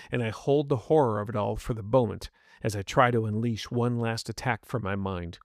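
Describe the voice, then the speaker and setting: A man narrates as a battered master in a dramatic first-person story. He sounds grim, bitter and worn down. The voice has a gravelly fry and sits very dry and close. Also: medium pitch, darkish tone, no room sound, natural voice. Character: battered, grieving old master narrating his defeat.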